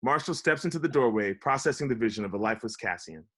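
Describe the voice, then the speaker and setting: A man's voice: medium pitch, expressive, fast, fairly bright tone. Man reading stage directions at a table read. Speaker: table read narrator and organizer. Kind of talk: reading screen directions, then casual chat.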